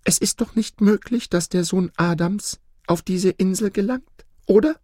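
A woman's voice: high voice, measured pace, mellow, no echo, clean studio sound, voice pushed up. A woman voicing a frightened female goose in a fairy tale, anxious and dramatic as she tells her tale. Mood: anxious questioning.

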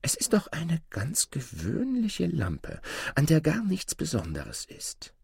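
A man's voice: fairly high pitch, wildly swooping pitch, slow, creaky, darkish tone, breathy edge, no echo, clean studio sound, voice pushed up, exaggerated voice. A man voicing a watchful, practical, doubting mother in a fairy tale, with a questioning delivery. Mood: curious, doubting.

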